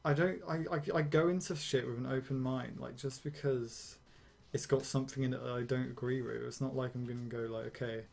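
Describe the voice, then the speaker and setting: A young man streaming a game, rambling and ranting casually with swearing and quick laughs. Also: medium pitch, fairly even intonation, vocal fry. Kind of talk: casual gameplay commentary.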